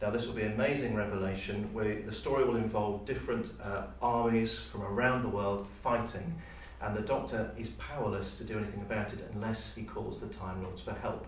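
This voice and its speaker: A man's voice: lowish voice, fairly even intonation, a little fast, hardly any pauses. A man speaking as an auditioning actor, recorded in a room. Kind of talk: audition monologue, pitch and casual interview. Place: echoey university room. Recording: very echoey room, distant mic, faint noise.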